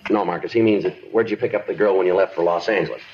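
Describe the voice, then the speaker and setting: A man plays a patient, businesslike American detective in a vintage radio crime play. His deep voice is clipped and deadpan, moving briskly on a dull old recording. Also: a little hiss or hum, close to an everyday voice. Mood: terse, patient. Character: terse, patient male police detective, businesslike. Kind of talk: 1950s radio drama acting and narration.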